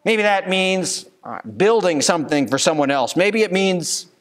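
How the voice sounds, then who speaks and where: A man's voice: high voice, lively intonation, measured pace. A man in his thirties, a pastor preaching a sermon at a church pulpit, earnest and given to rhetorical questions. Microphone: pulpit microphone.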